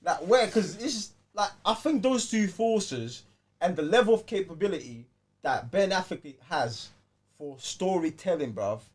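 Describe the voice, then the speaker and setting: A man's voice: sits high, lively intonation, measured pace, darkish tone. A man bantering casually as a podcast co-host, emphatic and slangy, often repeating phrases.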